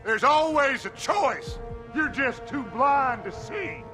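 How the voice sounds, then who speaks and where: An actor playing an aging American outlaw gang leader in a video game, theatrical and deliberate, given to fatalistic preaching. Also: male voice, extremely high pitch, lively intonation, music in the background, heavily acted. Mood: defiant, taunting. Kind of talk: dramatic video game acting.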